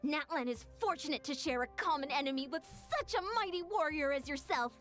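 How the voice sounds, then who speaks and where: A woman voicing a formal, earnest young female warrior-diplomat in a video game, speaking in a measured, polite way. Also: high voice, a bit bright, faint music behind.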